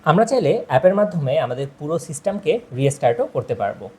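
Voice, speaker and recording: A man's voice: on the high side, lively intonation, fast, darkish tone. A man narrating a product demonstration, explaining step by step and addressing viewers directly. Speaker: product presenter. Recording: clear background noise, ambient sound.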